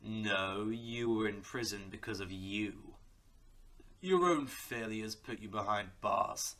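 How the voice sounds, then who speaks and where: A man's voice: lowish voice, slow, bright, forceful tone. A man playing a smug, sadistic crime boss in an audio drama, taunting theatrically and slipping into menacing whispers. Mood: mocking. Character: smug, sadistic male crime-boss villain who threatens softly. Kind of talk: audio roleplay drama acting.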